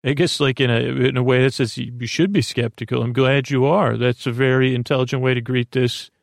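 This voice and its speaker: A man with a creaky voice giving a lulling, meandering, halting bedtime podcast monologue as host, in a self-deprecating way. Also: medium pitch, steady tone, no echo, clean studio sound. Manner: lulling, soothing.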